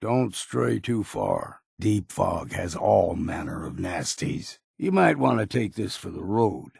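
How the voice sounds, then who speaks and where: An actor playing a gruff, cynical old wilderness guide in a video game, speaking in a gravelly, husky voice with a curt, folksy manner. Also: male voice, sits low, sing-song, slow, a little mellow, no echo, studio quality, full character voice. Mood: gruff warning. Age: older. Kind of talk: video game character dialogue.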